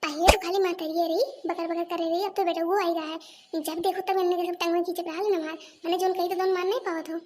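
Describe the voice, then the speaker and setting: A performer with an Awadhi accent voicing a quick-tempered, sulky young village housewife who bickers and complains in a comedy cartoon. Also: extremely high pitch, level tone, darkish tone. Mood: indignant. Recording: noisy lo-fi cartoon soundtrack.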